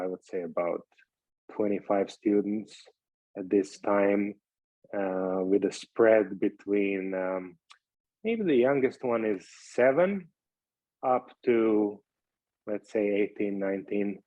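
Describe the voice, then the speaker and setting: A man's voice: fairly low pitch, no echo. A middle-aged man from Croatia, casually introducing himself as a guest on a video call. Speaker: interview guest, violin teacher. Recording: thin phone-like call audio.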